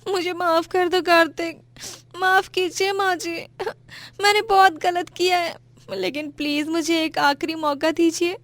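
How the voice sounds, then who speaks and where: A woman's voice: very high-pitched, steady tone, measured pace, fairly bright tone, no echo, full character voice. A woman voicing an emotional, melodramatic young married woman in a story cartoon. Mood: tearful pleading. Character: bratty then remorseful young married woman Dimpy. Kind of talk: animated moral-story dramatisation in Hindi.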